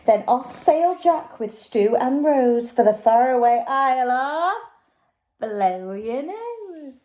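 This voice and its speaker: A woman's voice: high-pitched, very animated, slow pace, mellow. A woman, a nursery teacher, reading a picture book aloud to young children in a sing-song, theatrical way.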